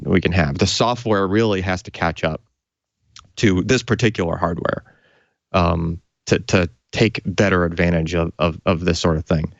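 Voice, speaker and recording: Male tech co-host, low register, enthusiastic unscripted explainer, dry and slightly dull sound. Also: no echo. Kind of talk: unscripted technical explanation, conversational.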